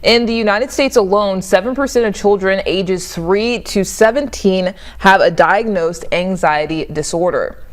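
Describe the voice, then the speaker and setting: A woman news anchor reading a scripted segment introduction and asking interview questions with a clear, measured delivery. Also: medium pitch.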